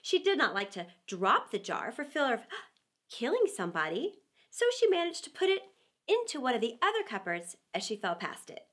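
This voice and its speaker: A middle-aged woman reading a children's story, theatrical and deliberate with dramatic pauses. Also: a little high, very animated, a bit bright. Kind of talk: expressive storybook narration.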